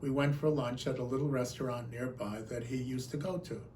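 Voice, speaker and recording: A man giving a talk as a personal storyteller, recounting remembered exchanges in an animated manner. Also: medium pitch, fairly quick, slight background noise. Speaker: conference speaker.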